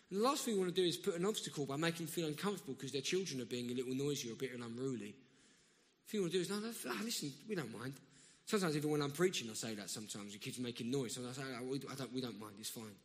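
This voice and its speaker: A man's voice: mid-range voice, animated, rapid-fire. A man with a South London accent preaching a sermon in a conversational way, often restarting and breaking into emphatic bursts. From South London, England. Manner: conversational, self-interrupting, rising to emphatic bursts. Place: church hall. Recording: long church reverb.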